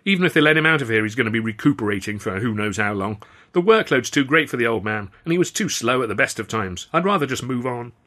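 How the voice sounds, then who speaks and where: A man's voice: medium pitch, lively intonation, quick pace, sharp tone. A man voicing a callous, grumbling male comedian in a novel, blunt and sneering in manner. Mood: cold, insistent.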